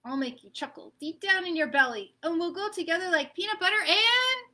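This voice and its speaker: A woman doing a high, bright little peanut butter boy, sing-song, hopeful, very lively swoops, storybook reading. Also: a little fast, exaggerated voice. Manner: sing-song rhyming plea.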